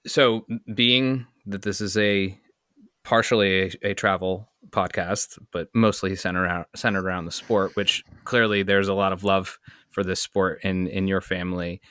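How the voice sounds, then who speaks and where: An American man hosts a casual podcast chat in a clean, lowish voice. The sound is dry and close. He is enthusiastic and tends to wander through his thoughts as he talks. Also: steady tone, moderate pace, fairly bright tone, smooth, no room sound. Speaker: podcast host. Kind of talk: casual conversational interview.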